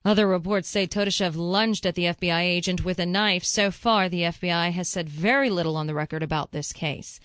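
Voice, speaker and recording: A woman's voice: on the low side, a little fast, few pauses, a bit bright, clear, resonant tone, dry recording. An American woman working as a radio news anchor, reading scripted local and national news. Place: radio studio.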